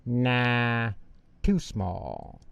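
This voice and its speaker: Man playing a self-assured, creaky lady doctor. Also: mid-range voice, very slow, no room sound, dull audio. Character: brisk, needle-happy, self-assured female doctor.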